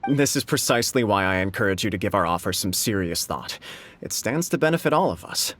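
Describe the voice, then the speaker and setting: An actor playing a formal government official in a game, speaking in a stiff, persuasive, bureaucratic way. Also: male voice, lowish voice, expressive, fairly quick, fairly bright tone. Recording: game audio with faint music.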